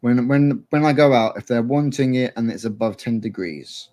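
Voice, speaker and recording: A man co-hosting a casual chat, relaxed and rambling as he explains things step by step. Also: medium pitch, moderate pace. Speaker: co-host.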